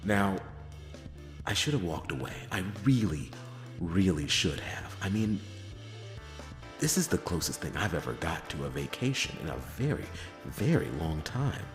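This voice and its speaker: A man's voice: low voice, very animated. A man playing a world-weary, reluctant private detective in a hesitant, dramatic noir roleplay monologue. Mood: wry, resigned. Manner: hesitant, drawn-out phrasing with pauses and sighing chuckles. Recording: echoey long reverb, music behind.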